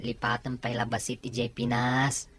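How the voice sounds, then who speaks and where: A woman playing an easygoing, teasing male worker with a husky voice in an Ilocano-accented audio drama. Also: a bass voice, level tone, moderate pace. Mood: teasing, casual.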